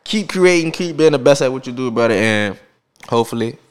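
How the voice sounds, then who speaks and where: A man's voice: medium pitch, lively intonation, fairly quick. A man in his twenties with a New Orleans accent, chatting casually and slangily as he interviews a guest on his podcast. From New Orleans, USA. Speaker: podcast host.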